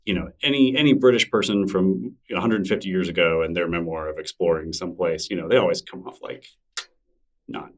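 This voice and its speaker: A man's voice: sits low, brisk, far from the mic. A middle-aged man being interviewed as a podcast guest, talking casually and self-deprecatingly with many restarts. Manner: relaxed, rambling, self-deprecating with frequent restarts.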